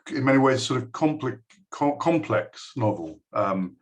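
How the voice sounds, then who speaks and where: A man's voice: medium pitch, lively intonation, unhurried. A middle-aged man giving a recorded address to a school, talking hesitantly with many ums and restarts. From United Kingdom. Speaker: guest speaker addressing a school.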